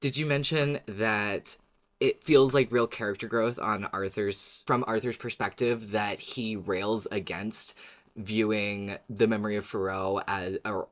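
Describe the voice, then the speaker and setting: A woman's voice: extremely low pitch, fairly even intonation, unhurried. A woman hosting a podcast in casual discussion, friendly and thoughtful, laughing easily. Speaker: podcast host.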